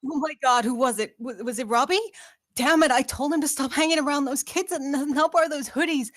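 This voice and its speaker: A woman's voice: high voice, fairly quick, no room sound, clean studio sound, close to an everyday voice. A woman playing a cheeky, giddy young woman in love at a screenplay table read. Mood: panicked, disbelieving.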